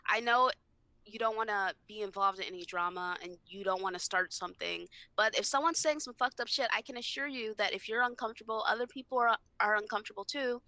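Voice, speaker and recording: A woman's voice: mid-range voice, fast, pushed and bright, no room sound. A woman chatting as a podcast panelist, outspoken and emphatic, repeating herself to drive points home. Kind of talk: casual chat.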